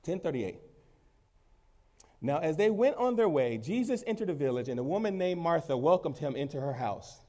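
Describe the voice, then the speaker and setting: Emphatic preacher with a lively, rising voice. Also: male voice, on the high side, normal speed. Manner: emphatic preaching, swings loud and soft, repeats phrases. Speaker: pastor. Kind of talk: sermon.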